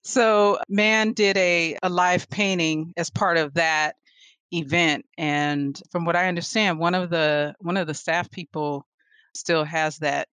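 Woman, 40s, chatty interview guest, animated storyteller, dry mic. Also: on the low side, slow, clean tone, dry recording. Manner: animated storyteller, laughs often. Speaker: guest, photographer and artist. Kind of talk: casual interview conversation.

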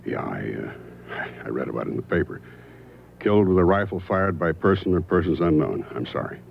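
Deep-voiced wry American private eye. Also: male voice, animated, fairly quick, creaky, a little hiss or hum, exaggerated voice. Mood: businesslike. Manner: conversational, wry, storytelling. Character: wry, eager private detective narrating his own case. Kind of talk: first-person radio detective narration and dialogue. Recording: dull old radio broadcast with loud music.

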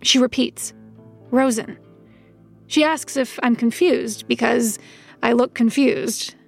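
An actress playing a reserved, watchful young woman, narrating in the first person in a measured, deadpan way for an audio drama. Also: fairly high pitch, gravelly, faint music behind, full character voice. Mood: guarded, deadpan. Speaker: narrator, detective's new assistant.

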